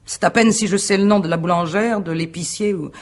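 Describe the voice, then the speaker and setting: An actress playing a proud, guarded wife under suspicion in a radio mystery drama, answering formally and with composure. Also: female voice, fairly low pitch, a little fast. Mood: guarded, factual. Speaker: actor in a radio mystery play.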